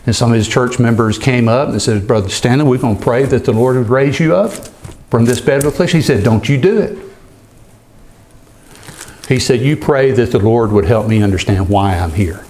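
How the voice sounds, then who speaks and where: A man's voice: medium pitch, normal speed, a little mellow, slight background noise, low-quality recording. A man pastor preaching an earnest expository sermon, sometimes repeating a word as he goes.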